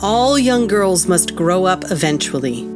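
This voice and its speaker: A woman's voice: on the low side, expressive, a little slow. A woman playing a tender, nostalgic mother who narrates in scripted storytelling style for an audio drama. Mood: reflective. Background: plucked guitar music.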